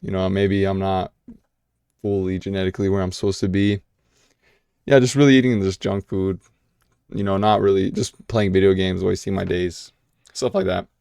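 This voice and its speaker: A man's voice: deep voice, fairly even intonation, moderate pace, vocal fry, pauses often, darkish tone, clean tone, dry recording, studio quality. A young American man answering viewer questions in a casual vlog, talking loosely with lots of fillers and restarts. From United States. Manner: casual, filler-heavy with many "you know"s and restarts.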